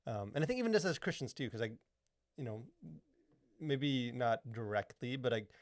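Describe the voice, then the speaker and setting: A man's voice: mid-range voice, lively intonation, moderate pace, dry recording. A man co-hosting a podcast in casual discussion, rambling along with lots of "like" and "you know". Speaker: podcast co-host. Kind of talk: casual discussion of culture and faith.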